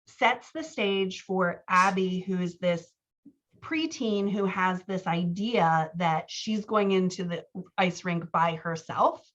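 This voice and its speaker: Female writing coach, lowish register, chatty workshop critique with self-deprecating humour, read-aloud excerpts, slightly muffled lo-fi recording. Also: slow, fairly bright tone.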